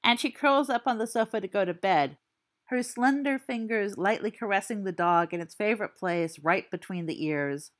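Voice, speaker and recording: A woman's voice: medium pitch, normal speed, close to an everyday voice. A woman voicing a cocky teenage boy who tells a spooky story in a theatrical, teasing way.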